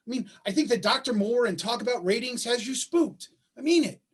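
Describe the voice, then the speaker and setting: A man playing a bitter, sarcastic husband in a staged play reading, with a husky voice, recorded over a video call. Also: very high-pitched, lively intonation, a little fast, heavily acted. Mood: needling. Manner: sarcastic, needling. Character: bitter, sarcastic husband caring for a sick child.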